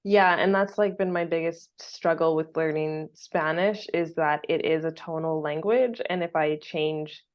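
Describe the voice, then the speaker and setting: A woman's voice: low voice, measured pace, few pauses. Chatty West Coast American woman, lo-fi audio. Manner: chatty, laughs often, many fillers. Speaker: podcast host. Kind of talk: casual chat.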